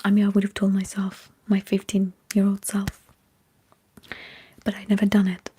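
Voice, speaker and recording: A woman whispering breathily in a halting ASMR livestream chat with her viewers. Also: medium pitch, completely flat delivery, dark tone, a little hiss or hum. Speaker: ASMR streamer chatting with live viewers.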